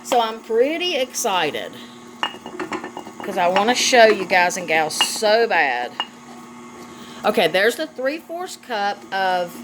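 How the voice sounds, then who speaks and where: A woman giving a casual cooking demonstration, chatty and affectionate as she talks directly to viewers. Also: mid-range voice. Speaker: home cooking vlog host. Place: home kitchen, indoors. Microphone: phone camera on the counter. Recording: noisy home kitchen with clattering dishes.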